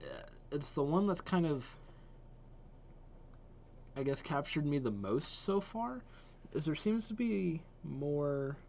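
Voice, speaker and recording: A man's voice: on the high side, lively intonation, slow pace, gravelly, pauses often, a little mellow, a little hiss or hum. A man giving casual reaction commentary as a host, thinking aloud as he goes.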